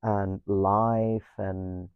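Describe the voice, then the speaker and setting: A man's voice: fairly low pitch, flat delivery, very slow, smooth, dry recording, muffled recording. A man in his thirties from Mexico with a Mexican accent, a guest talking reflectively, often hesitating, repeating words and ending thoughts with "no?". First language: Spanish. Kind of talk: reflective conversation.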